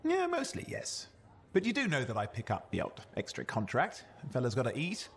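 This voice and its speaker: A man's voice: medium pitch, huge pitch swings, moderate pace. A man acting a chatty, restless young sidekick in a video game, with jokey, boastful banter that hides some insecurity. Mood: playful.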